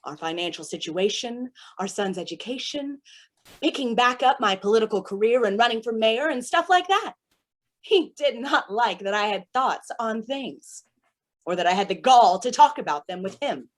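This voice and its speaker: A woman's voice: mid-range voice, animated, normal speed, lightly acted. A woman playing a chatty, theatrically polite and menacing villainess in a staged play reading. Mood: manic chatter. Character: manic, chatty villainess with smeared mime makeup.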